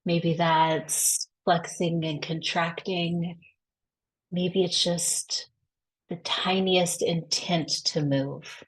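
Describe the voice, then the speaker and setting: A woman guides a body scan practice in a somewhat low voice. Her delivery is very slow and unhurried. She gives each instruction gently and with reassurance. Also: flat delivery, breathy edge.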